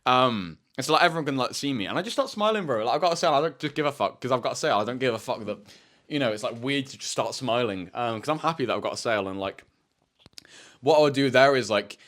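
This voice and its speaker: Fast-talking teen guy, swearing. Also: expressive, a bit bright. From United Kingdom. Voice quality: fairly deep voice. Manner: rambling, swears a lot, says "bro" and "like" often. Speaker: self-improvement YouTuber. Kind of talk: casual storytelling monologue.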